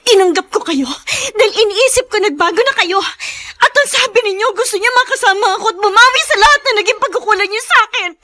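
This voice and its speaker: Young Filipina wife, radio melodrama: very high, piercing, tearful, suspicious of her mother. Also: quick pace, sharp tone. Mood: anguished outrage. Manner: tearful, pleading, emphatic.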